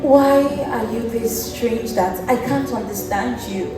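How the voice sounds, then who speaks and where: A woman's voice: on the high side, a little slow, natural voice. A young woman acting a concerned, outspoken friend in a stage play, earnest and pressing, projecting her words. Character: concerned, outspoken female friend of the temple lady. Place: stage in an indoor theatre. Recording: very echoey theatre, distant mic, music.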